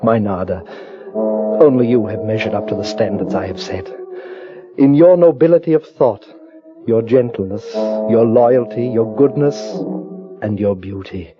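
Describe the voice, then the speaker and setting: An actor playing a powerful, lovestruck alien man in old radio drama style, speaking in a formal, measured, declamatory way. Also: fairly low pitch, close to an everyday voice. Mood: lofty, persuasive. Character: grave, ardent alien man with great powers, courting a human woman. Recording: muffled vintage radio recording, music behind.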